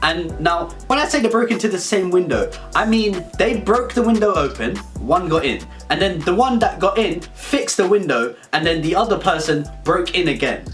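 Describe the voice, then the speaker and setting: A man's voice: sits high, animated, moderate pace, hardly any pauses, fairly bright tone. A British man delivering an absurd comedic storytelling monologue in a rambling, mock-serious way. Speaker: comedy video creator. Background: background music.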